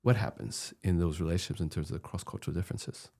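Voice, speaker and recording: Man from peru, very low voice, middle-aged, podcast co-host, earnest, probing questions. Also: quick pace, mellow. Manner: earnest, asks probing questions, repeats words when starting. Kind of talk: conversational podcast discussion.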